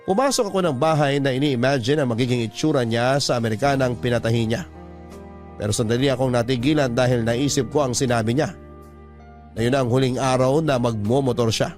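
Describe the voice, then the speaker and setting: A man reads a long, heartfelt letter aloud in the tradition of classic radio storytelling, measured and steady. His voice sits at a middle pitch, and faint music plays underneath. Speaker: narrator. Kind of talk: dramatic letter reading in radio-program style.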